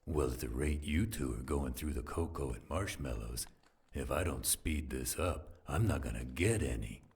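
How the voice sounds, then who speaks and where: A man voicing a theatrical, flirtatious werewolf storyteller in an audio roleplay, with a gravelly, husky voice. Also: extremely low pitch, darkish tone, exaggerated voice. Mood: teasing, playful. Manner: slow, dramatic, playful. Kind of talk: audio roleplay acting with dramatic poem recitation.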